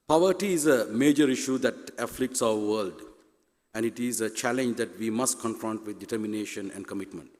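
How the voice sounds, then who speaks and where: A middle-aged man with a Sri Lankan accent, a native Tamil speaker, gives a formal prepared speech as a conference speaker on stage. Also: medium pitch. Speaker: university president, conference speaker. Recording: echoey hall with long reverb.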